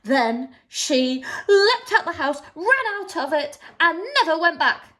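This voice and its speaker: A woman reads a storybook for little children in a slow, swooping voice. She leans on key words and leaves dramatic pauses, like an infant school teacher. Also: high voice, animated, a bit bright. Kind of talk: expressive children's storybook reading.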